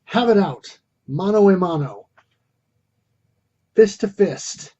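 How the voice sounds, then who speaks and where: A man's voice: high-pitched, animated, slow pace, warm tone, slightly rough, lo-fi sound. A man hosting a retro video game review, reading scripted narration in a theatrical, wordy and wry manner.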